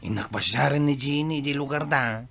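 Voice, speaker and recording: A man's voice: mid-range voice, normal speed, creaky, fairly bright tone. A man with an Ilocano accent playing a good-humoured, wheedling village borrower in a comedy drama. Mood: friendly, grateful.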